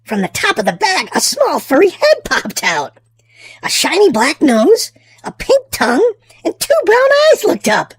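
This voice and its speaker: High-pitched woman, children's storybook narrator, theatrical emphasis, swooping intonation. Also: wildly swooping pitch, measured pace, no echo. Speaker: children's storybook reader and channel host. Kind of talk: expressive read-aloud narration for young children.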